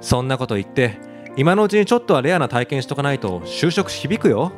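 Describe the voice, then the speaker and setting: Man as a young female student, nagging, brisk, teasing, radio drama, faint music behind. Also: mid-range voice, sing-song, natural voice. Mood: teasing scolding. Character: teasing, scolding young female university-age friend.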